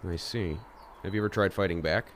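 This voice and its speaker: A man playing a rich high school boy in an audio drama, earnest and questioning in his manner. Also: fairly quick, slight background noise, lightly acted. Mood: gentle, concerned. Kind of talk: amateur audio drama acting.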